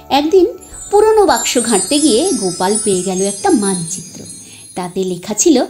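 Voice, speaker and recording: A woman storyteller narrating a moral tale in an expressive, scripted reading style. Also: medium pitch.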